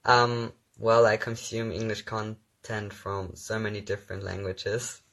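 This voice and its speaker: Young German man, low gravelly voice. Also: little pitch movement, unhurried, a bit bright. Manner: reads viewer questions aloud, answers with frequent ums and laughs. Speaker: actor hosting his own livestream. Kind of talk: casual livestream chat answering comments.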